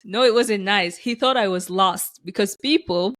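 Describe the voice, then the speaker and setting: A woman hosting a podcast, telling stories in casual conversation with lively restarts. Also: medium pitch, normal speed. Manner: animated storyteller, acts out quoted voices; frequent restarts and fillers. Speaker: podcast host.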